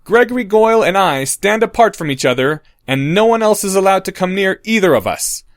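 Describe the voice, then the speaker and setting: A man's voice: fairly high pitch, lively intonation, normal speed, dry recording, studio quality, pitched above the performer's own voice. A man voicing a clever, earnest schoolboy wizard who raises his voice to take charge. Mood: shouting. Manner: earnest, rising to loud calls.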